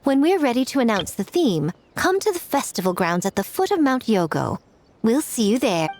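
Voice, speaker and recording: A woman's voice: a little high, very animated, moderate pace, a little mellow, slight background noise. An actress playing a playful, scheming, self-assured woman in a video game, with a teasing, coy and poised manner. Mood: amused, teasing. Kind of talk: English video game voice acting. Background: game music.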